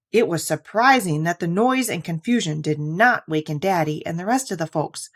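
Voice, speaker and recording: Woman reading a children's storybook. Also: mid-range voice. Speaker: storybook reader. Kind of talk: scripted children's story narration.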